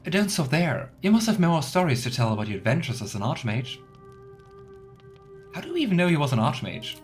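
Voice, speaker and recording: A man's voice: fairly high pitch, big pitch swings, fairly quick, soft background music. Chatty man doing casual game commentary. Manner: chatty, playful, rambling asides. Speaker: let's player.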